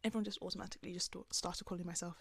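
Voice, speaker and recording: Young woman vlogger, soft calming voice, lowish with some fry, loose filler-heavy chat. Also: racing. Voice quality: calm, soft voice. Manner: rambling, filler-heavy with many "like"s. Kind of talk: casual talk to camera. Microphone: camera on the speaker.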